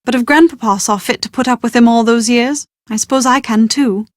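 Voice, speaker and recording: An actress playing a well-bred, proud young British bride-to-be in a video game, with prim, articulate, genteel phrasing and exasperated confidence. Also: female voice, on the high side, fairly quick, no room sound, studio quality, close to an everyday voice. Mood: mildly disdainful. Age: young adult. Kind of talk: scripted video game dialogue.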